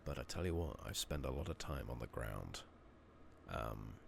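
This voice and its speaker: A man giving soft-spoken ASMR game commentary, muttering casually to himself and often slipping into a whisper, on a noisy close mic. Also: rumbling low, animated, moderate pace, vocal fry. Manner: murmuring, often dropping into whispers. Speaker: video game streamer. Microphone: makeshift-rigged new microphone. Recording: noisy close mic, slightly loud, clicking.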